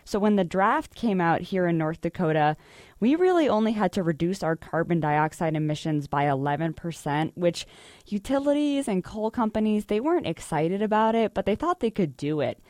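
An American woman working as a reporter, with a slightly low voice. She explains things conversationally, as in a news interview, and the sound is very dry. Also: sing-song, moderate pace, a little mellow, dry recording. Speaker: energy reporter.

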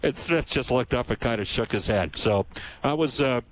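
A man's voice: mid-range voice, lively intonation, normal speed, slight background noise, rough recording. A man from Canada hosting a casual chat, telling rambling anecdotes full of fillers. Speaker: host.

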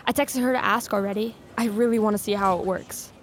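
A woman's voice: mid-range voice, steady tone, a little fast, a little hiss or hum, natural voice. A woman playing an earnest, curious schoolgirl daughter in a naturalistic audio drama, speaking casually and youthfully. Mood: pleading, worried.

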